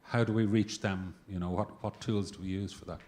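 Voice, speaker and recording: A man's voice: fairly low pitch, steady tone, fairly quick, a little mellow, a little hiss or hum. An older man in his sixties asking a reflective, hesitant question from the audience. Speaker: audience member. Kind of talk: question from the floor.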